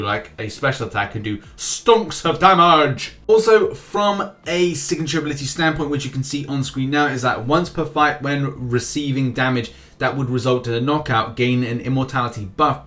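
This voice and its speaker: A man's voice: mid-range voice, a bit bright. A man hosting a gaming news channel, giving casual, informal and enthusiastic commentary on game updates.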